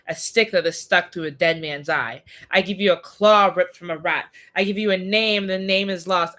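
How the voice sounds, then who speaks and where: Casting: male actor as older cult leader and father, audio drama. Forceful, sharp-edged tone, pompous, incantatory, born to command. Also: high voice. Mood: solemn, incantatory. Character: grandiose, imperious older father, occult order leader. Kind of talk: occult audio drama acting.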